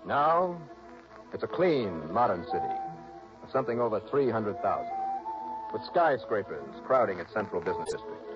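Dull old radio sound carries a brisk, hard-boiled American investigator in a 1960s detective serial. His lowish voice swings widely and animatedly in pitch, with punchy, clipped phrasing and music around it. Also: sing-song. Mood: dry, purposeful narration. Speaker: lead actor and narrator of a radio detective serial. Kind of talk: 1960s radio drama acting.

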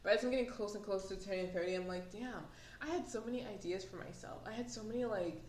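A woman's voice: medium pitch, quick pace, darkish tone. Woman, late twenties, podcast co-host; loose rambling chat full of fillers, echoey room with faint hiss. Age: late 20s. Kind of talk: casual chat.

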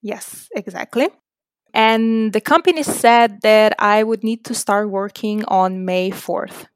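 A woman's voice: medium pitch, slow pace, smooth, no echo. A young woman from Slovenia, a native Slovenian speaker, chatting casually as a podcast guest. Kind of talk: casual chat.

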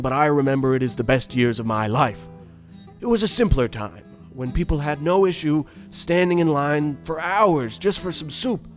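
A man playing a nostalgic, oblivious, privileged old man who reminisces in a genteel, unhurried, folksy radio storytelling style. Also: fairly high pitch, close to an everyday voice. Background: plucked guitar music.

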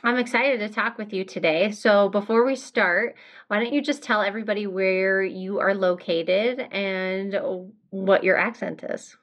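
A woman's voice: medium pitch, unhurried, a bit bright. A woman with an American accent, hosting a conversational podcast interview. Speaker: podcast host.

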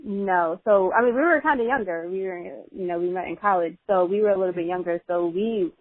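A woman's voice: lowish voice, brisk, no room sound. A woman guest on a podcast, speaking thoughtfully with hesitant restarts. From Nigeria (family), raised in America. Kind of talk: casual chat. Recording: dry thin phone-like sound.

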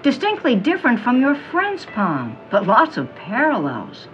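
Mystic fortune teller: female, knowing, teasing, very animated, audio drama, music bed. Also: on the high side, muffled recording. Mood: soothing, knowing. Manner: theatrical, honeyed pet names, dramatic pauses. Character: mysterious middle-aged woman fortune teller, theatrical, knowing, teasing.

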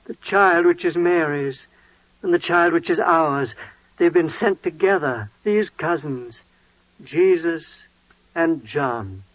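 An actor playing a devout, awestruck priest and father in 1940s radio drama, solemn, halting and declamatory. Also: a little high, fairly bright tone, no room sound. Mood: prophetic. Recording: muffled old 1940s radio recording.

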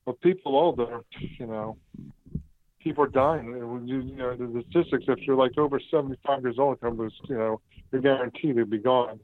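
A man's voice: medium pitch, moderate pace. A man in his fifties calling in to a radio show, earnest and agreeable, laughing often. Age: 50s. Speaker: caller, former co-host. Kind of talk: casual phone-in chat. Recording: tinny phone line.

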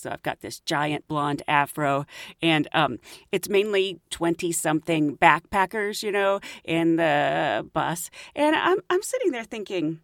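A woman's voice: no echo, clean studio sound. A middle-aged woman hosting a podcast, telling travel stories in a chatty way and laughing often. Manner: chatty, laughs often, lively rises in pitch. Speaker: podcast host, flight attendant. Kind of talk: casual storytelling.

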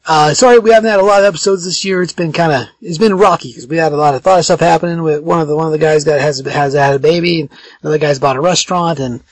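A man with a raspy voice hosting a podcast in casual chat, halting and often correcting himself. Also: a little high, dry recording, poor audio. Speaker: podcast host.

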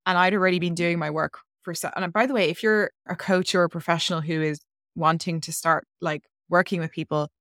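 A woman's voice: lowish voice, fairly even intonation, fairly quick, fairly bright tone, dry recording, clean studio sound. A woman guest on a podcast telling a personal story in a chatty, rambling way, full of "like" fillers. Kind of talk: casual interview storytelling.

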